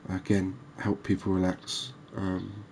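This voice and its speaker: A man giving relaxed, unhurried, musing ASMR-style gameplay commentary, chatting to his viewers. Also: low voice, gravelly, slight background noise. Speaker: host of a cozy fishing game channel.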